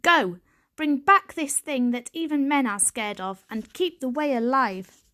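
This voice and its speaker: A woman's voice: high-pitched, unhurried, bright, forceful tone, voice pushed up. Woman voicing a proud, urgent old grandfather cat. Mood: firm, urgent. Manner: urgent, commanding.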